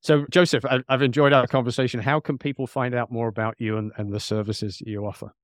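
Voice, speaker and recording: A man's voice: medium pitch, level tone, fast, no echo. A male podcast host talks in a mid-range voice with a very dry, close sound. He interviews conversationally, with an agreeable and affirming manner.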